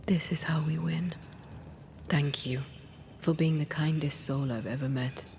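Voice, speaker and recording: Hushed, slow, grave ghost woman. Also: sits low, fairly even intonation, vocal fry, a little hiss or hum. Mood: tender. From Cuba. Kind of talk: dramatic game cutscene acting.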